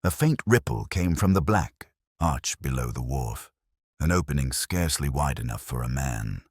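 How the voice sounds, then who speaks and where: A man's voice: rumbling low, lively intonation, normal speed, no room sound, clean studio sound. A man reading a scripted mystery story as a narrator, solemn and suspenseful in his dramatic delivery. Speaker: audiobook narrator.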